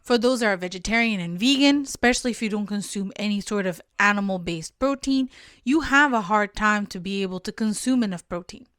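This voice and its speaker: A woman's voice: mid-range voice, a little fast, a bit bright, dry recording, clean studio sound. A young woman from Portugal hosting a solo podcast, giving friendly, instructive advice and sometimes correcting herself mid-sentence. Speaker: podcast host.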